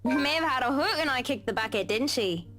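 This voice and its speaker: Zadziorną nastolatkę. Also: female voice, high voice, animated, moderate pace. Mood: bitter. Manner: cocky, teasing, tough talk. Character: brash, protective teenage schoolgirl. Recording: reverberant game audio with music.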